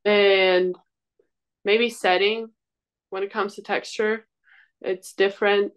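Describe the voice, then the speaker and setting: Young woman, from Greece, slow pace, clear smooth voice, medium pitch, informal hesitant interview answers. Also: flat delivery. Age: young adult. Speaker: volleyball player, interview guest. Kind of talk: casual conversational interview answers.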